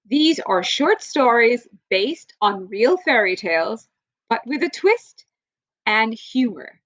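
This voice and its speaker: A woman reading a children's storybook aloud like a teacher, with playful, theatrical emphasis and drawn-out phrases. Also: a little high, sing-song, a bit bright, dry recording.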